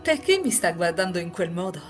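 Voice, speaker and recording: A woman reading as a composed, enigmatic housemaid who narrates a visual novel tale in formal, measured sentences. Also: on the low side, sing-song, soft background music.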